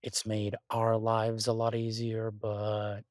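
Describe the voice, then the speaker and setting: A man playing an exasperated restaurant chef venting to his partner in a halting, grumbling audio roleplay. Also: fairly low pitch, completely flat delivery, darkish tone, no room sound, studio quality. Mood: resigned. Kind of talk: boyfriend audio roleplay, conversational monologue.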